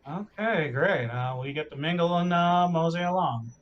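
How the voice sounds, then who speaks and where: A man's voice: a little high, normal speed, highs cut off, slight background noise. A man chatting casually and jokingly as a player in a tabletop game.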